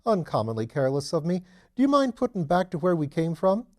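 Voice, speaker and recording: A man's voice: fairly high pitch, big pitch swings, a little fast, pitched above the performer's own voice. A man voicing an amiable aristocratic detective in an audiobook, breezy, leisurely and courteous. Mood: airy, mildly flustered. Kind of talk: audiobook character dialogue.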